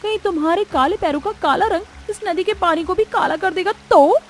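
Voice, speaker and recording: A woman's voice: very high-pitched, brisk, slight background noise. A woman voicing a haughty, spiteful young woman in a cartoon story, with a sneering, scolding manner. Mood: scornful. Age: young adult. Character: haughty, spiteful fair-skinned young sister. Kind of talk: Hindi cartoon story acting.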